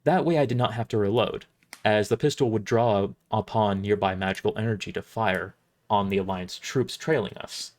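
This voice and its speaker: A man reading first-person dramatic narration for an audio drama, somewhat halting, often restarting his lines. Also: lowish voice, a little mellow.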